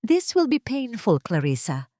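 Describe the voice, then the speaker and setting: A woman's voice: on the high side, very animated, unhurried, mellow, no room sound, studio quality, voice pushed up. Grave, brooding male surgeon, voiced by a woman. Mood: grave warning. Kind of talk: melodramatic audio drama dialogue.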